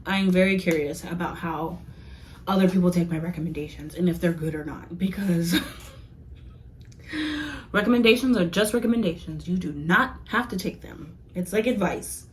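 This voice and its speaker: A woman's voice: lowish voice, moderate pace, pauses often, slight background noise. Young woman, chatty, giggly, rambling, casual vlog review, slightly worn voice. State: losing her voice. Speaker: vlogger reviewing comics.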